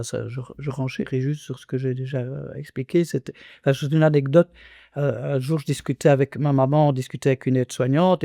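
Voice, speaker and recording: An older man in his sixties talks in a halting, earnest way, with frequent restarts and hesitations. His voice is mellow and warm at a middle pitch, recorded close and dry with no room sound. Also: creaky, no room sound. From Congo. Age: 60s. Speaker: interviewee. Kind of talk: reflective conversational testimony.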